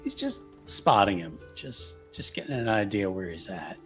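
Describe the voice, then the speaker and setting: A man's voice: fairly low pitch, animated, normal speed, fairly bright tone, faint music behind. A man playing a tabletop roleplaying game, chatting informally as he describes what his character does.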